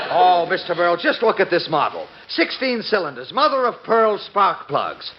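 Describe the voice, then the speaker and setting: A man's voice: high voice, huge pitch swings, slow, full character voice. An actor playing a desperately eager, fawning car salesman in 1940s radio comedy style, effusive and wheedling. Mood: gushing. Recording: noisy, muffled 1940s radio recording.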